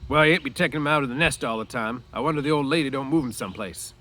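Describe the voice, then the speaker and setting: Storybook role: aloof, embittered stable worker, performed by a male reader, raised pitch, sharp, complaining rural delivery. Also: very fast, close to an everyday voice. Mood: grudging. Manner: sharp, complaining, defensive, folksy rural speech. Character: proud, aloof, bitter stable hand with crooked back. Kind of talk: storybook read-aloud character voice.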